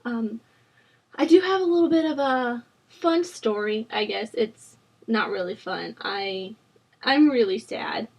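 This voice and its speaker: A young woman in her twenties, hoarse from a sore throat, chatting casually and rambling with plenty of ums and likes while she narrates a building game. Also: fairly high pitch, animated, measured pace. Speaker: Sims building channel host. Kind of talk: casual voice-over chat during a speed build.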